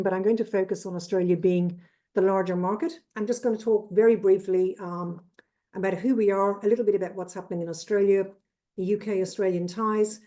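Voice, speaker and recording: A woman in her fifties with an Irish accent, giving a brisk, businesslike webinar presentation as a trade consultant over a video call. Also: mid-range voice, warm tone. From Ireland. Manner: brisk and businesslike, lists with "et cetera".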